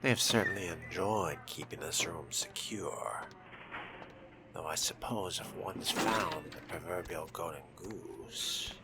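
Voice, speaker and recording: Very deep, gravelly man, slow and hushed. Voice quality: gravelly, whispery. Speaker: player voicing a vampire in a game. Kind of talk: hushed in-character role-play. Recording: noticeable background noise and game ambience.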